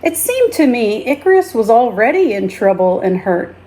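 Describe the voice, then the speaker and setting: Woman, children's read-aloud, fairly high pitch, mellow tone, deliberate storytelling delivery. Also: big pitch swings, slight background noise. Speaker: teacher reading a story aloud.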